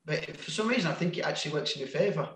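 A man with a broad Sheffield accent talks in a loose, rambling way, restarting sentences and laughing at himself. His voice sits a little high for a man, and the room gives it a noticeable echo. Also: animated, rapid-fire, fairly bright tone. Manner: rambling, self-deprecating, laughs a lot, many restarts. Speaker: guest, music label founder. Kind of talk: casual podcast chat.

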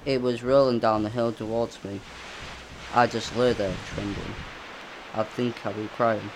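A man's voice: on the low side, level tone, unhurried, noisy background. A man reading a spooky first-person story in a plain, earnest way.

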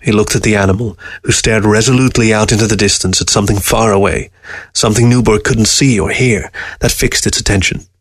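Guy narrating a short story, measured, on the low side, no room sound. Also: steady tone, breathy edge, no room sound. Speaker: story reader. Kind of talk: scripted fiction narration.

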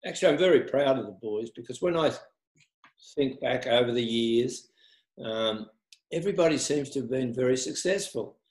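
An older man from Australia recalls his coaching days in a lively, laughing conversational way. His mid-pitched voice sounds roomy and echoey. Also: normal speed. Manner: animated storytelling with frequent laughs. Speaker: tennis coach, guest. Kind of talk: reminiscing conversation. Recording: echoey room.

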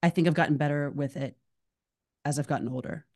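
A woman's voice: sits low, steady tone, quick pace, darkish tone. A woman hosting a podcast, chatting casually and rambling off on tangents. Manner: chatty, rambling, self-described tangent talker. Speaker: podcast host.

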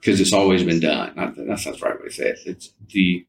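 A man's voice: low voice, fairly even intonation, quick pace. A man speaking as an interview guest in a casual, reflective way, often rephrasing as he searches for his wording. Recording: distant mic with faint background noise.

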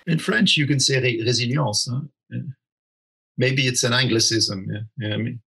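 Husky-voiced man explaining ideas, thoughtful. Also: mid-range voice, moderate pace, pushed and bright, low-quality recording. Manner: thoughtful, restarts often, says "you know" and "right?". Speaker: interview guest. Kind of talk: conversational explanation.

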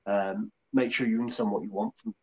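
A man's voice: fairly low pitch, fast, vocal fry, darkish tone, husky, lo-fi sound. A man co-hosting a casual chat, somewhat hesitant, repeating words as he thinks aloud. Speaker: co-host, agency colleague.

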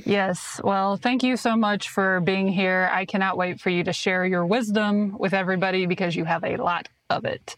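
A woman's voice: mid-range voice, fairly even intonation, moderate pace, few pauses, dry recording, poor audio. A woman in her thirties hosting a podcast, reading a scripted intro and then chatting with enthusiasm. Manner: upbeat, enthusiastic. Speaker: podcast host.

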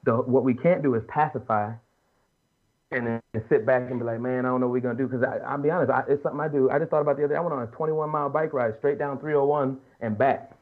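A man's voice: medium pitch, steady tone, fast talker. A man in his late thirties talking casually and earnestly as a podcast panelist, making his points emphatically in colloquial speech. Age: late 30s. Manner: earnest, emphatic, colloquial, repeats and rephrases as he argues. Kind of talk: casual opinionated panel talk. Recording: muffled lo-fi audio.

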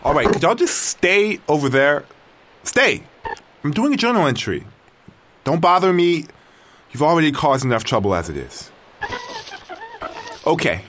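A young exiled tribesman keeping an audio journal in a science fiction drama. His lowish voice swings widely as he grumbles with sardonic, dramatic exasperation, with some ambient noise behind him. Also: a bit bright, full character voice. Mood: exasperated. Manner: sardonic, dramatic, easily irritated. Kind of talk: audio drama acting, first-person journal monologue.

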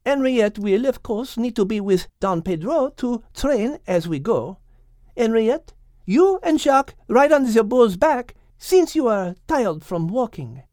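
A man voicing a poised, clever female French cat with measured, polite speech, in an audiobook. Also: very high voice, big pitch swings, darkish tone, no echo, clean studio sound, voice pushed up, heavily acted. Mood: diplomatic, instructing. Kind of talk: audiobook character acting.

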